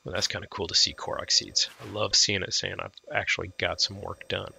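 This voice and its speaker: Gravelly-voiced man with a cold, casual gaming commentary. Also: low-pitched, level tone, fairly quick, pushed and bright, slight background noise. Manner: goofy, rambling, talking to himself. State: tail end of a head cold. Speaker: let's play host.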